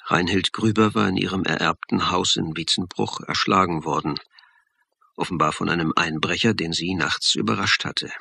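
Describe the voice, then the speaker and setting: Wry crime-drama narrator, husky, sharp-edged, measured, dry studio recording. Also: male voice, sits low, dry recording, exaggerated voice. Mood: sober. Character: wry male narrator, a sober police academy lecturer. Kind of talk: scripted first-person radio drama narration.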